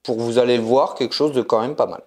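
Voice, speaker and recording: A man's voice: medium pitch, steady tone, fast talker, a little mellow. A man with a French accent co-hosting a casual collectibles unboxing chat, joking and laughing often. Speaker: co-host of a collector channel.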